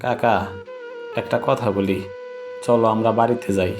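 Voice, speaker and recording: A man's voice: medium pitch, fairly even intonation, moderate pace, music in the background, natural voice. A man voicing a timid, anxious young helper in a cartoon, speaking deferentially with a Bangladeshi accent. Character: timid young male honey-gathering helper, tired and anxious. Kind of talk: cartoon voice acting. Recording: clear background noise.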